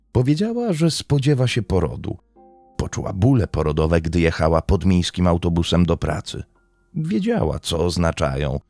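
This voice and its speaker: A man narrates scripted true crime in a dark, mellow voice. His delivery is measured and literary, yet the pitch moves expressively. The sound is close and dry. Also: vocal fry, dry recording.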